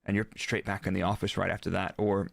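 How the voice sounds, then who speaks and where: A man's voice: low-pitched, level tone, quick pace, gravelly, no room sound, studio quality. A man talking casually as an interview guest, explaining technical work in the manner of an engineer. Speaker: guest, former Formula One performance engineer.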